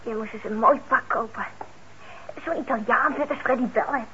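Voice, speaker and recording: Casting: actress for chatty teenage sister, high girlish voice, teasing yet caring, natural radio play style, muffled sound. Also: normal speed, fairly bright tone, natural voice. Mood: teasing, eager. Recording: muffled old radio drama, faint noise.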